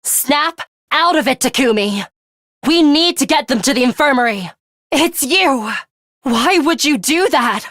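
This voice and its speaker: A woman voicing a composed, battle-hardened young woman in an English video game, speaking in a deliberate, matter-of-fact way. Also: high voice, expressive, fairly bright tone, no echo, studio quality. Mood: alarmed, desperate. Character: young woman, composed battle-hardened time-looper, coolly urgent ally.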